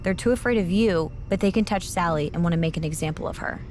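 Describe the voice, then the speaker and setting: A woman playing a composed, fearless wrestler, speaking in a measured, serious way in a video game drama. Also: lowish voice, lightly acted. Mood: reproachful. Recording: music behind voice.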